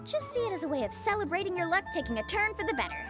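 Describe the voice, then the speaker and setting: Cheery young game shopkeeper and guide, high pitch, animated intonation, chatty, reassuring. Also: female voice, rapid-fire, loud music behind the voice. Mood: friendly, persuasive. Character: friendly, upbeat young woman island guide and shopkeeper. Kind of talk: video game voice acting.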